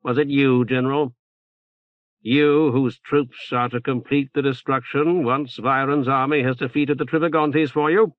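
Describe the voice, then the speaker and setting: Smooth calculating politician acted by a male narrator, sardonic, measured, audiobook novel. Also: mid-range voice, steady tone, dry recording, phone-quality sound, pitched above the performer's own voice, natural voice.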